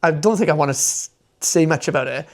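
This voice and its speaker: A man's voice: fairly high pitch, fairly quick. A young Welsh man, a fluent Welsh speaker, chatting as a podcast guest in a rambling, enthusiastic way full of fillers and restarts. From Wales. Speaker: podcast guest, motoring content creator. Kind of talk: casual interview chat.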